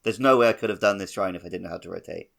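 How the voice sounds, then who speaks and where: Low creaky guy cohosting a gaming podcast, loose chatty style, corrects himself as he goes. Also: rapid-fire, fairly bright tone. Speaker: podcast co-host. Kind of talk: casual video game discussion.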